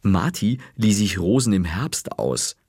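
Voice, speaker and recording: A man reads a children's story aloud in a lively, expressive storybook manner. His low voice has a gravelly, husky grain and swings widely in pitch. The recording is close and dry, with no room sound. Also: measured pace, no echo. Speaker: narrator. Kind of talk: scripted storybook narration.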